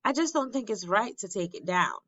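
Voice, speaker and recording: A woman's voice: medium pitch, expressive, a little fast, a bit bright, dry recording, muffled recording. A woman reading the part of an earnest, fair-minded third-grade boy in a storybook, thoughtful and sincere. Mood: uneasy.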